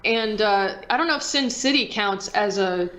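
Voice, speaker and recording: A woman's voice: mid-range voice, normal speed, over a noisy crowd. A woman co-hosting a casual podcast about movies, talking in a blunt, enthusiastic way with frequent swearing. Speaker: podcast co-host.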